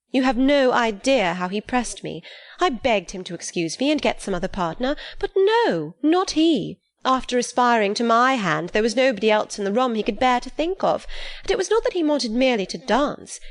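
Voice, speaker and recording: A woman reading an audiobook, voicing an affected, gushing young woman who talks in high-flown exclamations.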